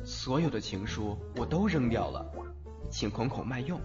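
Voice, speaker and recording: A brash teenage boy acted by a man who teases and dotes, with very animated pitch. He speaks in radio-play style over clear background music. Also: normal speed, highs cut off, natural voice. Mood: playful teasing. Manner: teasing, affectionate. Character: brash, teasing, doting teenage schoolboy. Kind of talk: Mandarin audio drama acting. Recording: band-limited, background music throughout.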